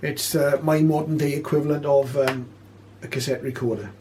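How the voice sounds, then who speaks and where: A man presenting an informal spoken tutorial, explaining step by step with hesitant pauses. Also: medium pitch, fairly even intonation, darkish tone, a little hiss or hum. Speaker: presenter of a shortwave radio tutorial.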